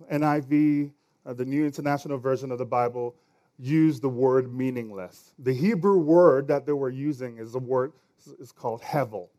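A man's voice: mid-range voice, mellow. A man with a Nigerian accent preaching a sermon as pastor, conversational and now and then stumbling over words.

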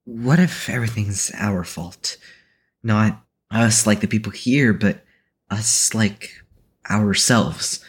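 Actor as a low, husky, unsure young man. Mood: uneasy, tentative. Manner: halting, tentative. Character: hesitant, self-doubting young man in a group lesson. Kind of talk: audio drama acting.

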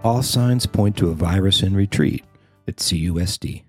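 A man's voice: low-pitched, normal speed, darkish tone, faint music behind. An American man reading a scripted news story as a podcast host and reporter.

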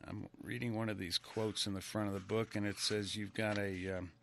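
Bright-voiced older host, chatty and laughing. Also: male voice, on the low side, flat delivery, a little fast, creaky, slight background noise. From Connecticut. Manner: chatty, laughs often, many fillers. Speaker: radio show host. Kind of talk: casual interview chat.

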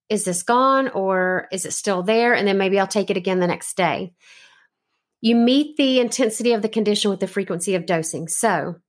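A woman hosting a podcast, giving relaxed, instructive advice on home remedies with frequent fillers. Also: mid-range voice. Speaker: homeopathy teacher and podcast host. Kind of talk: casual podcast chat.

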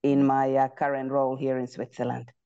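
A woman in her forties from Finland, a guest in a podcast interview, telling her story calmly and reflectively. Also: very deep voice, a little fast, clean tone, dull audio. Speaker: guest, procurement executive.

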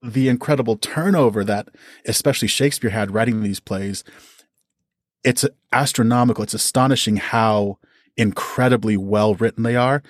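A man with a fairly low voice talks as a guest on a podcast. He explains his thoughts conversationally, articulate and enthusiastic, in a dry, close-sounding recording. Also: dry recording. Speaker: storyteller and podcast creator.

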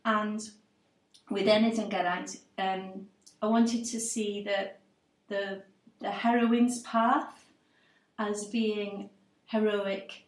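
A woman's voice: medium pitch, level tone, takes it slowly, pauses often, roomy sound, distant mic, low-quality recording. A woman storyteller from Cardiff, answering interview questions thoughtfully and hesitantly, with many restarts. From Wales, Cardiff.

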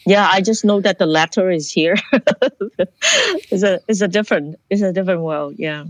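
A woman's voice: on the low side, normal speed, no echo. A woman from Hong Kong, a guest in an interview who tells her life story in an earnest, reflective way and often restarts her sentences. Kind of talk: personal life story in conversation.

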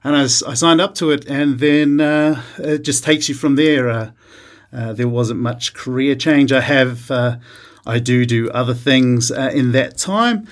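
Chatty New Zealand man, halting delivery. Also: mid-range voice. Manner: hesitant, many uh fillers and restarts. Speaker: chef. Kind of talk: casual interview conversation.

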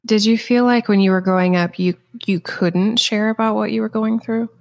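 Creaky-voiced woman hosting a podcast. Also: medium pitch, fairly even intonation, normal speed. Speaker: podcast host and interviewer. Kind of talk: scripted podcast introduction and interview questions.

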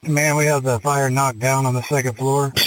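A man's voice: mid-range voice, little pitch movement, brisk, no room sound, low-quality recording. A man speaking as a firefighting officer, giving terse, businesslike reports over a two-way radio. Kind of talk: procedural fireground radio report.

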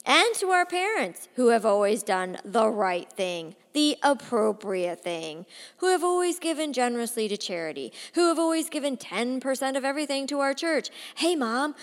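A defiant, sarcastic young man in his late twenties, as a woman reads him aloud, his voice loud, scornful and mocking. He speaks in an audiobook with a long, ringing hall reverb. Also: medium pitch. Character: bitter defiant young man, 28, feigning drunk. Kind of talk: audiobook character voice.

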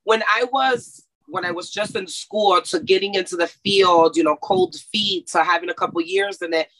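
Woman, from Mexico, schooled in Texas, massage therapist, chatty, laughs a lot, bright voice. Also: fairly low pitch, expressive, normal speed, poor audio. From grew up in Mexico, schooled in Texas. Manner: chatty, laughs often, many restarts. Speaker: massage therapist, interview guest. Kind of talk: casual interview chat.

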